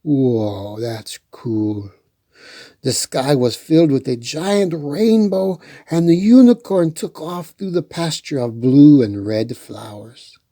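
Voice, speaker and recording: Slow, mellow, animated storytime reader. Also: medium pitch, dry recording, clean studio sound. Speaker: children's storytime host and reader. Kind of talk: picture-book read-aloud with playful asides.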